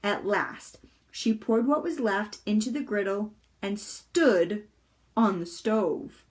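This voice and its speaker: A woman reading a children's chapter book aloud with deliberate, expressive storytelling. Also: medium pitch. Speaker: volunteer storybook reader.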